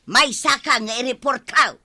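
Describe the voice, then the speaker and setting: Feisty, bright-voiced village watchwoman. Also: sits high, moderate pace, dry recording, clean studio sound, heavily acted. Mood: scolding. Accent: Ilocano. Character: feisty, no-nonsense village watchwoman who scolds gamblers. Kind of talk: Ilocano radio comedy drama acting.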